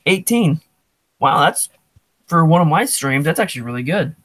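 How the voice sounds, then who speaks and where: A man's voice: on the high side, expressive, normal speed, a bit bright, dry recording, clean studio sound. A man hosting a casual livestream, talking off the cuff and thinking aloud as he goes. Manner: off-the-cuff, thinking aloud while reading chat. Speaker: livestream host.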